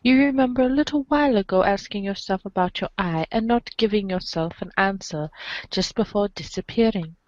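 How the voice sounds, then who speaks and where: A woman's voice: mid-range voice, a little fast, no echo, dull audio. A woman reading second-person comic narration aloud for a podcast. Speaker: reader in a webcomic reading podcast.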